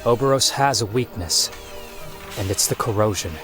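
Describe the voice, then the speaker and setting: An actor playing an earnest, reassuring young hero in a video game cutscene, informal and determined, with music behind him. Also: male voice, fairly low pitch, moderate pace, close to an everyday voice. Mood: resolute.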